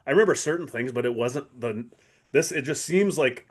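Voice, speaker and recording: A man with a medium-pitched voice talks casually as a podcast co-host reviewing TV. He thinks out loud in a halting way, doubling back to correct himself. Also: lively intonation.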